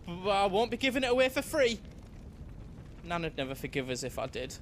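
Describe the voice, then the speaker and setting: A timid, loyal young merchant in a fantasy game. The voice sits very high for a male and is earnest and colloquial, with a Northern English accent. A faint rumble runs underneath. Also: big pitch swings, a little fast. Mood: anxious, loyal. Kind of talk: dramatic video game cutscene acting.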